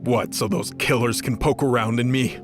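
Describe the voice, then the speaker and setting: A man's voice: medium pitch, steady tone, normal speed, close to an everyday voice. A man playing a bitter, foul-mouthed, hot-tempered fallen archangel in an audio drama, cynical and combative in manner. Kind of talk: dramatized audio fiction acting. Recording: music behind the voice. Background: music.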